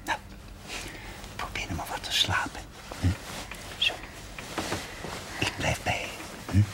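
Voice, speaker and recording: An actor playing a worried husband who gently soothes his sick wife in a hushed, reassuring way, in a 1970s Dutch television drama. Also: slow pace, close to an everyday voice. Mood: soothing, subdued. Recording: noisy old TV audio.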